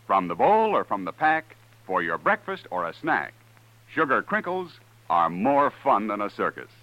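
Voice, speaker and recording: A man's voice: lowish voice, very animated, a little slow, no echo, dull audio. An American man announcing in the peppy, salesman-like style of a 1950s radio commercial.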